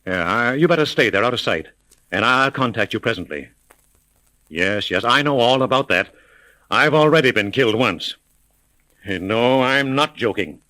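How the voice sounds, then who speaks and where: A wealthy, anxious gentleman in a 1930s radio serial. He talks in urgent, formal phrases with lively swings of a medium-pitched voice. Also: normal speed, poor audio. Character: wealthy, anxious father threatened with death.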